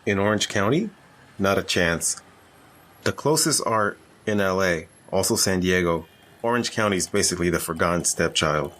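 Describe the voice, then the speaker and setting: A man's voice: sits low, lively intonation, normal speed, fairly bright tone. A man playing a grudging, irritable secret agent in an audio drama, dry and exasperated with his ex-lover. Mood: dismissive.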